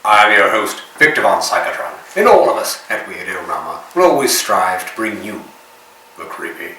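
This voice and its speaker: A man playing a creepy horror movie host, speaking in a theatrical, formal and deliberate way as he introduces the show. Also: on the low side, big pitch swings, sharp tone. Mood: theatrically ominous. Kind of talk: scripted late-night horror host introduction. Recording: roomy, distant mic with faint noise.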